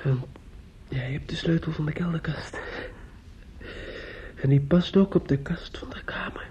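An actor playing a grieving, bitter and desperate young man in a radio drama, halting and often dropping into whispers. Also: fairly high pitch, dark tone, natural voice. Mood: pleading, hushed. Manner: halting, repetitive, drops into whispers. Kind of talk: radio drama monologue acting. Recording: dull, noisy old radio recording.

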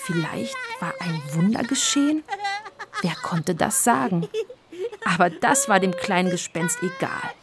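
Lively woman narrator with creaky voice. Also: medium pitch, a little slow, a crowd in the background. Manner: expressive storytelling delivery. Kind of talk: scripted children's story narration. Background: music.

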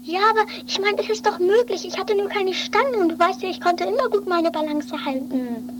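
A woman plays a childlike, stubborn daredevil with a piping, extremely high voice. The figure is eager and whiny, insisting over and over like someone who will not be refused. It sounds like an improvised eighties radio play, with clear noise on the recording. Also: brisk. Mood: pleading. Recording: noisy amateur 1980s radio play recording.

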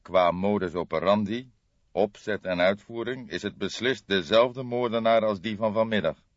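A man acting out a weary old male detective from a crime audiobook. He is low-pitched, sombre and deliberate, recorded dry and slightly dull. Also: no room sound, close to an everyday voice.

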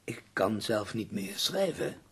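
A man acting in a Dutch radio play as an ailing, nearly blind poet, husky and gravelly, formal, tender and wry. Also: fairly low pitch, sing-song, slow, heavily acted. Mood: courteous, wry.

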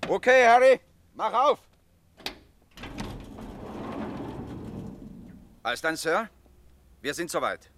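An actor playing a cautious, rule-bound money courier in a radio play, formal and politely wary. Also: male voice, high voice, sing-song, normal speed, background noise. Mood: satisfied, businesslike. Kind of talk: German radio play acting.

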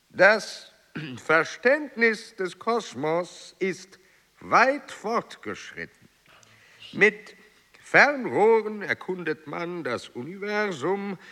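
An actor playing an aged, celebrated explorer baron in a German radio play, pompous and oratorical. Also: male voice, fairly high pitch, bright, forceful tone, exaggerated voice. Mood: grandiose, solemn.

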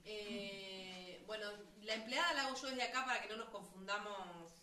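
An Argentine woman coaches a radio drama workshop with a bright, forceful voice. She is a bit far from the mic in an echoey room, thinking aloud and trying out lines. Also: mid-range voice, moderate pace, a little hiss or hum. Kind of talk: informal directing and feedback.

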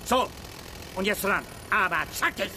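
A man's voice: high-pitched, measured pace. An actor playing a coarse, bullying forestry foreman who barks orders in colloquial speech in a radio drama. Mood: angry, bullying. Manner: blustering, barking orders, colloquial, stammers when outraged. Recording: vehicle and engine noise behind.

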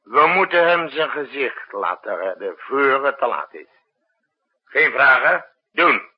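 A bossy stressed leader in an old radio play, really husky, pitch jumps all over. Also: male voice, sing-song, exaggerated voice. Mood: brisk, commanding. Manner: brisk, imperious, muttering to himself between orders. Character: commanding, harried male state leader issuing urgent emergency orders. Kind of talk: 1960s Dutch radio drama acting. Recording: dull, muffled 1960s radio recording.

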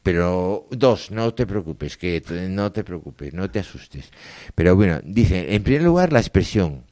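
A man with a low, mellow voice talks through poems in a relaxed chat, reading lines and then letting his comments fade to near-murmurs. The sound is close and dry. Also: unhurried, no room sound. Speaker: co-host discussing poetry. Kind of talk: casual radio chat about literature.

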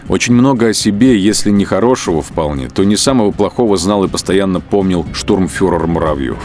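A man narrating a radio play in a measured, grave storytelling manner. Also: low-pitched, darkish tone. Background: music.

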